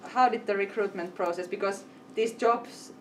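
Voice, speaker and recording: A woman's voice: mid-range voice, moderate pace, a little hiss or hum. A woman hosting a live event panel, asking long, winding questions. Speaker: interviewer and event host. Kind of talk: panel interview. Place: event venue. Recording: distant mic with faint ambience.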